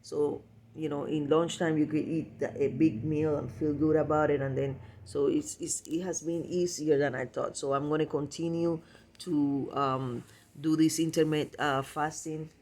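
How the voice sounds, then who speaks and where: Man, slightly high voice, casual vlog chat, halting, repetitive, self-correcting. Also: steady tone, a little mellow, a little hiss or hum. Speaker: vlogger. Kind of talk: casual personal vlog talk.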